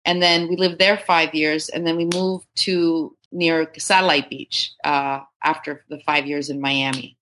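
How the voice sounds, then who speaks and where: A woman's voice: low voice, steady tone, unhurried, few pauses, a bit bright, low-quality recording. A woman in her fifties from Canada, whose first language was Serbian, chatting as a podcast guest over a video call, laughing often and restarting words. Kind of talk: casual interview chat.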